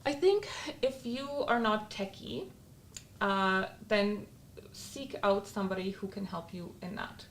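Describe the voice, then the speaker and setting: A woman's voice: medium pitch, unhurried, a little hiss or hum. A Polish-born woman with a Polish accent, chatting candidly as a guest podcast co-host and laughing often. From Poland. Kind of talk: casual chat.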